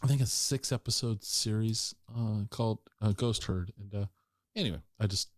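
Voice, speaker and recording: A man's voice: on the low side, normal speed, dry recording, studio quality. An American man hosting a podcast interview in an informal, rambling, conversational way. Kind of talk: casual interview chat.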